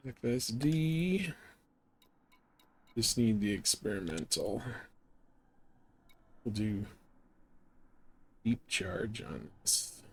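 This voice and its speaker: A man's voice: fairly low pitch, big pitch swings, very slow, long pauses, husky. A man streaming a game solo, chatting casually and muttering as he thinks aloud. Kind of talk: casual solo gameplay chat. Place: indoors, live game stream. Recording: faint background noise.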